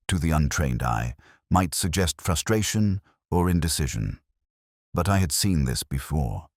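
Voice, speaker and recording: A man narrating a scripted detective story in a measured, dramatic way. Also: low voice, a little mellow, dry recording, clean studio sound. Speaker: audiobook narrator.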